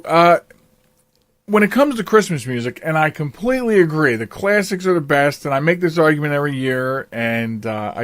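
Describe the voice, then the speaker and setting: A man hosting a casual radio-style interview show, chatting in a halting way and often repeating words. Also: fairly high pitch, dry recording. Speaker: podcast host.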